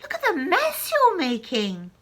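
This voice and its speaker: A woman's voice: very high-pitched, big pitch swings, takes it slowly, very noisy, pitched above the performer's own voice, heavily acted. A woman doing a fretful young boy. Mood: worried, pleading. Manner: pleading, fretful calls. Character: young boy, anxious and exasperated. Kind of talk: storybook read-aloud.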